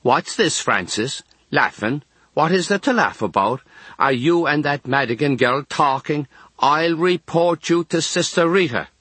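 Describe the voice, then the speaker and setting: A man voicing a very stern Irish nurse from Kerry in a storybook reading, sharp and accusing. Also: on the high side, lively intonation, a little slow, breathy edge, dry recording, pitched above the performer's own voice, exaggerated voice. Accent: Irish, County Kerry.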